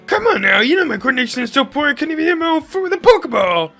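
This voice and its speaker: A man's voice: very high voice, big pitch swings, rapid-fire, bright, forceful tone, soft background music, voice pushed up, full character voice. A man voicing a clumsy but earnest research professor for a fan-made game series, in a theatrical, self-deprecating way. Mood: flustered.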